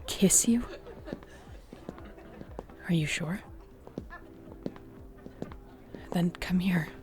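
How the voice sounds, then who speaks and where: A woman acting a guarded, flustered but tender mentor in a modern fantasy audio roleplay, speaking haltingly and often near a whisper. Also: lowish voice, music in the background. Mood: tense, hushed. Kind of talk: audio roleplay acting, intimate one-sided dialogue.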